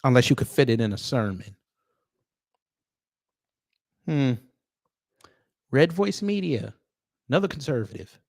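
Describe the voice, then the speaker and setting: A man's voice: medium pitch, sing-song, creaky, dry recording. Sarcastic American man giving opinion commentary, dry sound. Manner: sarcastic, confrontational, with pointed pauses. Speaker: online commentary host. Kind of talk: off-the-cuff opinion commentary, reading posts aloud.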